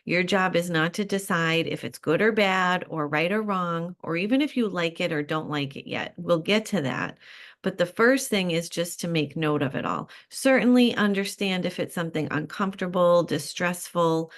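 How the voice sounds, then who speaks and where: A woman coach giving a calm, reflective solo advice talk, encouraging and often pausing with fillers. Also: lowish voice.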